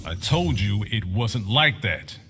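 Stern American soldier, low voice, lively pitch, protective, reverberant game dialogue. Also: male voice, slow pace, slight background noise, exaggerated voice. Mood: firm. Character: stern, protective middle-aged male soldier. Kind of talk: video game fighter banter.

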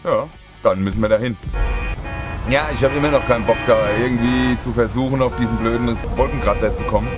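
Let's player, male, slightly stuffy, joking to viewers over loud music. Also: mid-range voice, fairly quick. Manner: chatty, joking asides to viewers. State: a bit stuffy from a cold. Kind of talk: casual gameplay commentary. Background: loud game music.

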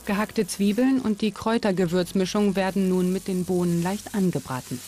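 A woman's voice: mid-range voice, moderate pace. A woman presenting and narrating a documentary in scripted sentences.

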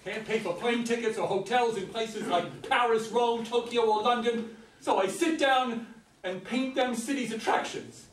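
A man's voice: very high voice, normal speed. An older man reading the part of a talkative, folksy old ex-convict hitchhiker in a staged script reading. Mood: proud, chatty. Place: meeting room with long table, indoors. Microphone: far camera. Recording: roomy, distant mic, some ambient noise.

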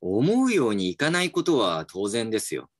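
A man's voice: on the low side, huge pitch swings, normal speed, no room sound, studio quality. An actor playing a reflective, slightly dejected male employee in a voice drama, polite and musing. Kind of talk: voice drama acting with inner narration. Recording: music behind the voice.

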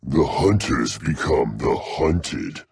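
Hot-tempered dragon fighter for a game, super slow and heavy, gravelly growly blustering voice. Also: male voice, extremely low pitch, big pitch swings, no echo, full character voice. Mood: low menace. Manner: blustering, swinging from growls to bellows. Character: brutish, hot-tempered male dragon warrior, gruff and boastful. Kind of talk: video game character barks.

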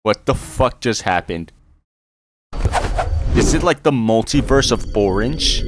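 A man's voice: medium pitch, expressive, takes it slowly. A young man hosting a reaction video, swearing casually, laughing often and losing his voice. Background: loud music from the video.

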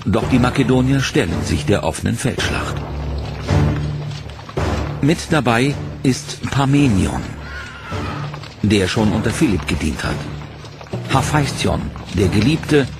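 A man narrates a history documentary in a gravelly, measured voice, his lines carried over loud orchestral music. His delivery is dramatic and deliberate. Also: medium pitch.

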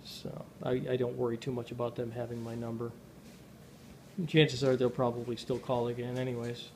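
A man's voice: mid-range voice, completely flat delivery, normal speed. Man, home vlogger, dry sarcastic delivery, casual, faint noise in a kitchen recording. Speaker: amateur vlogger. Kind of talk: casual chat to camera. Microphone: handheld camera or phone.

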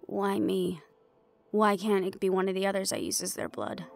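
A woman's voice: medium pitch, normal speed, gravelly, soft background music, natural voice. A woman playing a tough, threatening young supernatural woman in an audio roleplay, blunt and sardonic. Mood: firm.

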